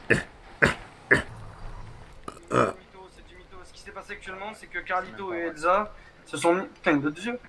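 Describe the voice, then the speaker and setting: A man's voice: high voice, brisk, long pauses, a bit bright. A man chatting casually in an online game, insistent and often repeating his phrases.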